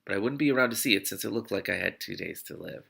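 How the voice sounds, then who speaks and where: A man's voice: fairly low pitch, animated, quick pace, creaky, sharp tone, slightly rough. A man hosting a read-aloud, reading a novel expressively and breaking off for enthusiastic asides. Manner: enthusiastic, interjects reactions. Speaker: host of a book read-aloud show. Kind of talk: expressive audiobook-style reading with spontaneous asides.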